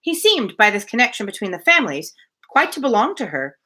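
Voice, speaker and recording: A woman reads a classic novel aloud with a bright, crisp edge to her voice. Her pitch moves in a lively, expressive way, and she drops easily into informal, chatty commentary as host of the reading. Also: fairly quick. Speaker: host of a live book reading.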